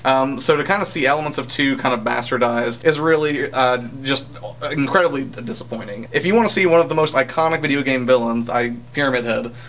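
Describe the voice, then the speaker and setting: A man's voice: mid-range voice, fairly quick, fairly bright tone. A young man co-hosting a casual podcast, giving wry, hyperbolic opinions on what he reviews. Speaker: podcast co-host. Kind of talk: casual chat, opinionated film and game review.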